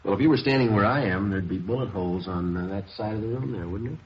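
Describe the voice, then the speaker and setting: An actor playing a terse, matter-of-fact police detective in a 1950s radio drama, narrating and questioning in a deadpan way. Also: male voice, fairly low pitch, fast talker, slight background noise, close to an everyday voice. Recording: dull vintage radio recording, music.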